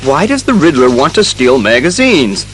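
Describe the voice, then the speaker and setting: A man's voice: mid-range voice, very animated, normal speed, a bit bright, faint music behind. A man playing an earnest, puzzled police commissioner, questioning and official, in a superhero audio drama.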